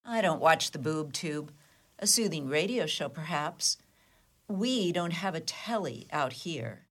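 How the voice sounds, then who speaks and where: A woman's voice: low voice, lively intonation, unhurried. A woman playing a defiant, flippant suspect in a comedic radio play, wry and haughty with clipped retorts. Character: defiant, flippant, sardonic woman under police questioning.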